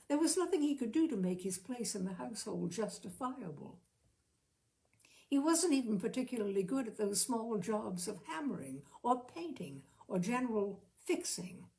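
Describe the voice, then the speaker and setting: A woman narrating a literary short story expressively, with measured, careful phrasing. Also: on the high side, big pitch swings, creaky, darkish tone. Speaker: audiobook reader, storyteller.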